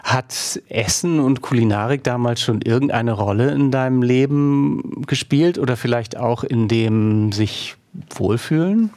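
A man's voice: medium pitch, a little slow, a little mellow, no room sound, clean studio sound. A man hosting a podcast conversation, curious, asking short follow-up questions. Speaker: podcast host. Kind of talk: conversational interviewing.

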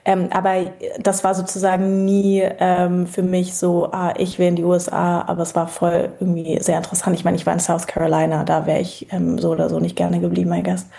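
A woman in her thirties from Berlin, a guest talking in a relaxed, reflective way with many pauses and fillers. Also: on the low side, vocal fry. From Berlin, Germany. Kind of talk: casual interview chat.

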